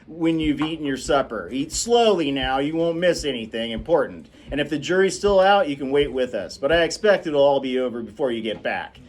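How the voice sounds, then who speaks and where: A man's voice: medium pitch, lively intonation, a little fast, hardly any pauses, natural voice. A man voicing a weary lawyer father who speaks earnestly and firmly.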